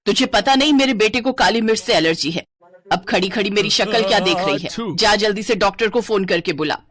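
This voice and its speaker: A woman's voice: mid-range voice, moderate pace, fairly bright tone, natural voice. A woman voicing a stern, middle-aged mother-in-law who is protective of her children, in a story. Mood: angry scolding. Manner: scolding, indignant.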